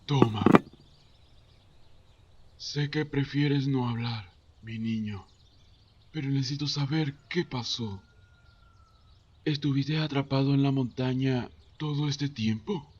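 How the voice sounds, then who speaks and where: An actor playing a patient, concerned, fatherly man who talks in a measured, formal way in a Spanish fan dub. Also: mid-range voice, darkish tone, breathy edge. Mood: concerned, pleading. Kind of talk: Spanish fandub voice acting. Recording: dull lo-fi audio, music behind.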